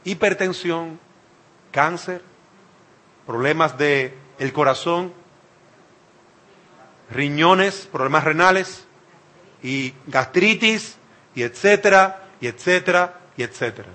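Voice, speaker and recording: A man's voice: a little high, slow, long pauses, sharp tone. A man from the Dominican Republic, speaking with a Dominican Spanish accent, preaching an emphatic sermon and repeating phrases for effect.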